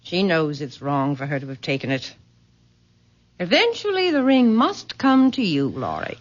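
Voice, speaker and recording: Through a dull, lo-fi radio recording comes a proud middle-aged matriarch. She is haughty and formal, and her pitch swings dramatically as she commands. It is bitter, imperious old-time mystery acting. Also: wildly swooping pitch, moderate pace. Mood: insistent, imperious.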